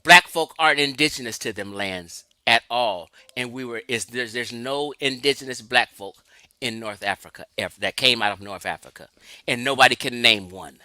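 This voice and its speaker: A man's voice: mid-range voice, moderate pace, bright, forceful tone, no echo. A man from Los Angeles giving an emphatic, lecturing livestream talk, answering his chat and laughing often. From Los Angeles, California. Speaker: livestream host.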